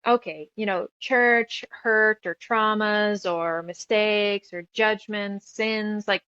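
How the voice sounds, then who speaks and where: Interview guest: woman from New Mexico, mid-range voice, earnest and halting, close dry sound. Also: medium pitch, steady tone, pushed and bright, dry recording, muffled recording. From New Mexico, United States. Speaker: interview guest, author and radio show producer. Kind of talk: casual interview conversation, personal reflection.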